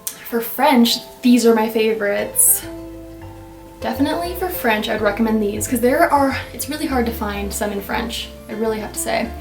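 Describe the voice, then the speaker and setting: A woman's voice: a little high, darkish tone. A young woman vlogging casually to camera about learning languages, upbeat and chatty with lots of "like" and "right?". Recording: echoey room, mic at a distance.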